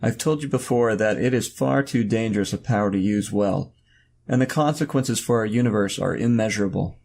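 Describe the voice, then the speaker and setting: An actor playing a mysterious robed male mentor, stern and cryptic, speaking gravely and formally in an audio drama. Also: lowish voice, a little fast, a little mellow. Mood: stern, reproachful. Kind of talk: audio drama character dialogue.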